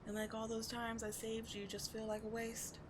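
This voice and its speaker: A woman's voice: on the high side, monotone, moderate pace, natural voice. A woman playing a bitter, wounded villain who secretly cares, sardonic and exasperated, in a dramatic ASMR audio roleplay. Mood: reluctant, weary. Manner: sardonic, exasperated, emotionally intense. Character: bitter, wounded female villain secretly caring for rival sidekick. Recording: clearly audible background noise.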